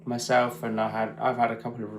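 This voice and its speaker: A man's voice: medium pitch, monotone, fast talker, a little hiss or hum. A British man teaching guitar casually on a live stream, thinking aloud in short, informal bursts. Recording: roomy echo with guitar playing.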